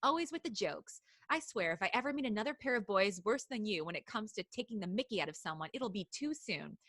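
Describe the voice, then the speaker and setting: A woman reads out a teenage schoolgirl who fusses over her friend and teases him. Her tone is bright, and the sound is dry with no room echo. The girl's talk is fond and lightly mocking. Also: medium pitch, lively intonation, quick pace. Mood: mock-exasperated. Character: teenage schoolgirl, caring and teasing.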